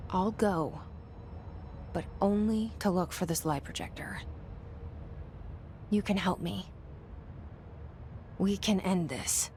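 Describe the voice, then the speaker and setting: A woman's voice: fairly low pitch, takes it slowly, long pauses, a little mellow, a little hiss or hum. An actress with an American accent playing a determined young woman trying to save her brother in a game cutscene, blunt, wry and restrained. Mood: skeptical, resolved. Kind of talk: video game cutscene acting, naturalistic.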